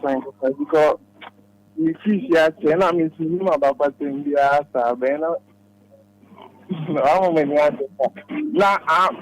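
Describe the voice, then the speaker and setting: A man's voice: on the high side, rough recording. A Ghanaian man chatting casually as a caller on a radio phone-in.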